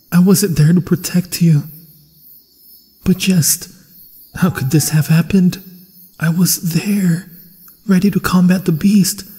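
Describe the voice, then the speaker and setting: A husky, breathy monster hunter, acted out by a man, grieving and sworn to vengeance. He speaks very slowly and solemnly, with dramatic halts, as in a fantasy horror ASMR roleplay. His voice rings in a long, cavernous reverb. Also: mid-range voice, warm tone, exaggerated voice. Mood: anguished. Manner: solemn, dramatic, halting delivery with long pauses.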